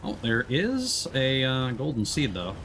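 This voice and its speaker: A man's voice: medium pitch, wildly swooping pitch, unhurried, noisy background. A man giving casual let's play commentary as a streamer, explaining and thinking aloud as he plays. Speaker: streamer playing a video game.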